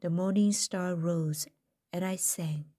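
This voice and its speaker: A woman reading a first-person story aloud in a gentle, deliberate manner. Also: on the low side, fairly even intonation.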